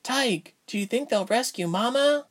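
A man's voice: very high voice, expressive, normal speed, fairly bright tone, voice pushed up, full character voice. A man voicing an earnest, grieving little girl in a storybook narration. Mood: sad, worried.

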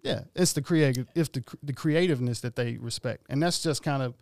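Casting: relaxed, slangy male musician, gravelly edge, close dry sound. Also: mid-range voice, fairly quick, no room sound. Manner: laid-back, rambling, slangy. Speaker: musician guest. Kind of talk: casual interview talk.

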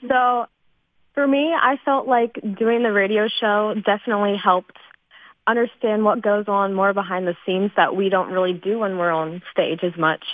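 A woman's voice: mid-range voice, fairly even intonation, moderate pace, no room sound. A teenage young woman, a theater student, answering casually as a guest in a radio interview. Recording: dry, narrow phone-like line.

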